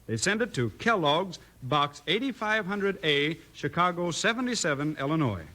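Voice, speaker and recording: An actor playing a friendly, enthusiastic singing cowboy with an American Western accent in a 1950s radio advertisement for children. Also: male voice, fairly high pitch, lively intonation, normal speed, bright, forceful tone, a little hiss or hum. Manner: folksy, enthusiastic.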